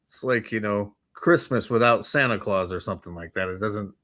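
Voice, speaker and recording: Low-voiced North American man, casual banter. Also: moderate pace, pushed and bright. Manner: laid-back, bantering. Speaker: talk show co-host. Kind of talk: casual joking chat.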